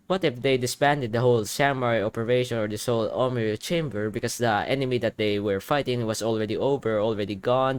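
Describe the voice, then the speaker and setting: A man's voice: mid-range voice, clear, resonant tone, no echo. A man doing casual reaction commentary as he plays through a game's story. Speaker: gamer reacting to a story quest. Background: soft game music.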